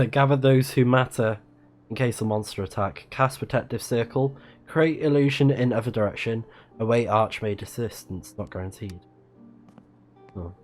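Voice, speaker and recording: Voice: medium pitch, moderate pace, darkish tone. A person playing a video game on a livestream, giving casual commentary with murmured reactions and reading on-screen text aloud. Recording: game music behind the voice. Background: video game music.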